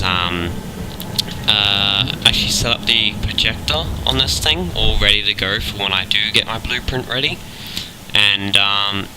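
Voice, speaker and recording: Young man with a cold, low voice, halting relaxed gameplay talk over audible game noise. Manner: relaxed, many ums and uhs. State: has a cold. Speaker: gaming video host. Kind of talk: casual gameplay commentary. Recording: game sounds clearly behind.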